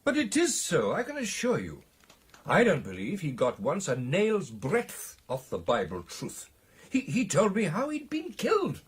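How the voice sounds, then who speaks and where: British club gentleman, radio drama storyteller, theatrical, very husky, wildly varied intonation, excitable. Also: high-pitched, unhurried, exaggerated voice. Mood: earnest, reflective. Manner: theatrical, hesitating and emphatic. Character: excitable, amused club gentleman telling his ghost encounter.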